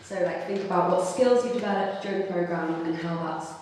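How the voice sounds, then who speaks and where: A woman's voice: lowish voice, brisk, darkish tone, a little hiss or hum. A woman moderating a panel and asking casual questions, with "um" and "so, like". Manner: casual, friendly, "um" and "so, like". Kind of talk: interview questions. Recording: echoey hall, distant mic.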